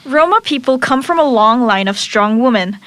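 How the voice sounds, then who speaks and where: A woman's voice: a little high, animated, normal speed, a bit bright, no echo, studio quality, soft background music. A woman hosting an interview podcast, politely introducing her guest from notes and asking questions. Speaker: podcast host.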